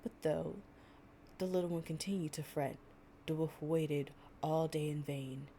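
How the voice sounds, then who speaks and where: A woman's voice: low voice, steady tone, a little slow, dark tone, a little hiss or hum. A young woman playing an affectionate, chatty girlfriend in an improvised, intimate storytime roleplay, with a casual, slangy and playful way of talking. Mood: gentle reading. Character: affectionate, chatty girlfriend reading fables to her partner.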